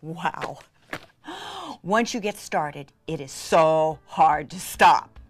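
A woman presenting a craft demonstration in an excitable, gushing way, with emphatic drops into a breathy near-whisper. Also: low-pitched, extremely slow, slight background noise.

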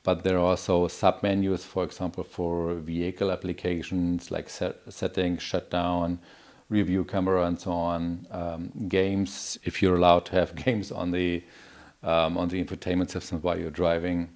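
Very deep-voiced man demonstrating a product. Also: completely flat delivery, moderate pace, darkish tone. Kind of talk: live product demo.

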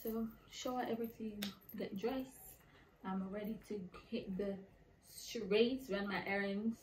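Female vlogger, early twenties, Jamaican accent, mid-pitched voice, casual phone chat. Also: steady tone, measured pace, a loud crowd, poor audio. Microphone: phone, handheld or propped nearby. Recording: faint background noise on phone recording.

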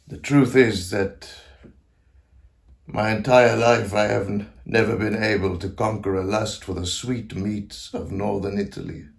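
An actor playing an aged, grandiose Italian composer near death, confiding in a theatrical stage monologue with a creaky voice and self-mocking manner. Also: male voice, deep voice, heavily acted. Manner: theatrical, declamatory, swinging to hushed asides.

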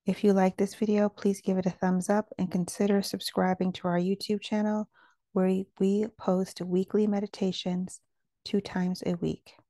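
Gentle woman with a creaky, mellow voice. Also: mid-range voice, moderate pace, no echo. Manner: gentle, calming delivery. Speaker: meditation guide. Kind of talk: guided meditation narration.

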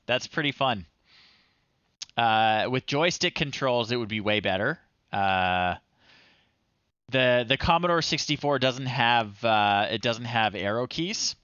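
Low-key, slow-talking man. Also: bright, forceful tone, no echo, highs cut off. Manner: low-key, muttering to himself between remarks. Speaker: livestream host playing retro games. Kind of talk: casual live gaming commentary. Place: small room at home, indoors.

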